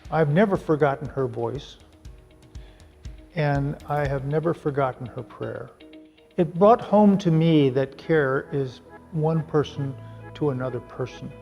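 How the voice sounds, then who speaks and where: A man's voice: fairly high pitch, a little slow, creaky, darkish tone, muffled recording, faint music behind. An older man, an emergency physician, speaking earnestly and reflectively in an interview. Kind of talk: interview testimony.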